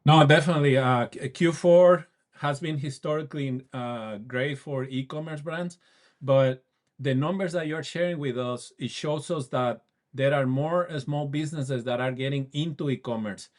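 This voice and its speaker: A man's voice: fairly high pitch, normal speed. A man hosting a business podcast, welcoming a guest in a friendly, eager way and adding short affirmations. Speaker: podcast host. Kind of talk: podcast interview.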